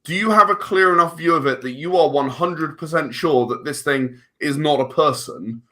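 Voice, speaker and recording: Guest: man, forceful bright tone, halting and reflective. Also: fairly high pitch. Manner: thoughtful, restarts words often. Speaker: guest filmmaker. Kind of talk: casual podcast conversation.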